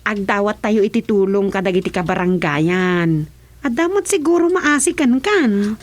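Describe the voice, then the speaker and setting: A woman's voice: medium pitch, lively intonation, normal speed, natural voice. A woman with an Ilocano accent playing a concerned, outspoken younger village wife in a radio drama.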